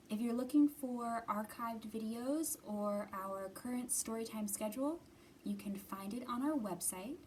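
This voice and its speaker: Higher-voiced woman leading children's storytime. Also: slight background noise, poor audio. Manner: expressive, simple phrasing addressed to small children. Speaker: children's library storytime host. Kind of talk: storytime read-aloud and singalong for young children.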